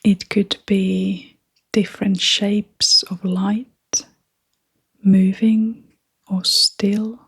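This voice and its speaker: A woman leading a guided meditation in a soft, half-whispered, breathy voice, with gentle, unhurried phrasing. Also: mid-range voice, level tone.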